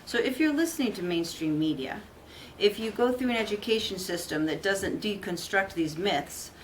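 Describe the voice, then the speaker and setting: A woman's voice: mid-range voice, lively intonation, normal speed, a little hiss or hum, low-quality recording. A middle-aged woman giving a documentary interview, articulate and thoughtful, explaining her points.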